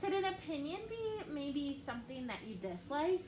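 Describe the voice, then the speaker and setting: Young teacher lady, fairly high voice, says "like" a lot, quizzing the kids, noisy room. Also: sing-song, normal speed. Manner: chatty, asks the kids many questions, heavy use of "like". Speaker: elementary school teacher. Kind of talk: classroom read-aloud lesson. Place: classroom with children on a carpet. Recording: echoey classroom with background noise.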